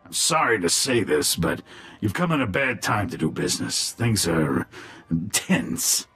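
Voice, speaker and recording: An actor playing a shady alien gangster lieutenant in a video game, with a husky, gravelly voice. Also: male voice, fairly low pitch, big pitch swings, a little slow, fairly bright tone, faint music behind, full character voice. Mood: uneasy. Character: shady alien male gangster lieutenant running a desert club. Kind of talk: video game dialogue acting.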